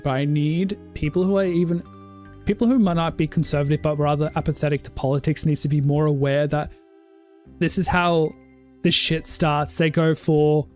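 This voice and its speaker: A woman's voice: low-pitched, warm tone. A woman game streamer on a casual livestream, ranting with passion, leaning on "like" and cutting herself off to restart. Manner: impassioned ranting, heavy use of "like"; self-interrupting restarts.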